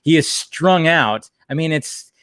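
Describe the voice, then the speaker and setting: A man's voice: on the high side, lively intonation, a little slow, a bit bright, clear, resonant tone, dry recording, studio quality. A man co-hosting a recap show in casual chat, rambling informally through the story and throwing in swearing and acted-out quotes. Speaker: podcast co-host recapping cartoon episodes.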